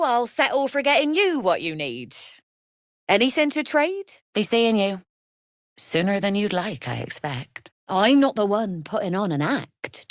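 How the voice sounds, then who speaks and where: A woman's voice: mid-range voice, no echo, studio quality. A woman playing a shrewd, mercenary crime boss in a video game, wry and self-assured with cool menace. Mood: cool, sly. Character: shrewd, mercenary female Zhentarim smuggler boss, threatening and wry. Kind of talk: video game character voice lines.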